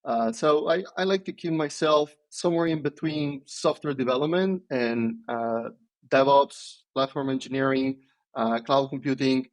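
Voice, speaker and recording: A man's voice: medium pitch, a little slow. Man, hesitant and thoughtful. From Italy. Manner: thoughtful, hesitant, many ums and restarts. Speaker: podcast guest, software engineer. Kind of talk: casual interview answers.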